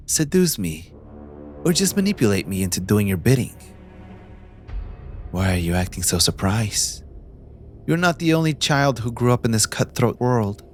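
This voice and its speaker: A man playing a possessive, manipulative young mafia heir in an audio roleplay, condescending and smoothly taunting with theatrical politeness. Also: on the low side, big pitch swings, moderate pace, pauses often, soft background music. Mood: cold, accusing. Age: young adult. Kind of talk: scripted ASMR audio roleplay addressed to the listener.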